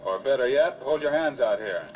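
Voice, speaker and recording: An actor playing a steady, commanding American lawman hero in an old-time radio western. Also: medium pitch, normal speed, natural voice. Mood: stern. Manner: firm, direct. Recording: noisy old radio recording.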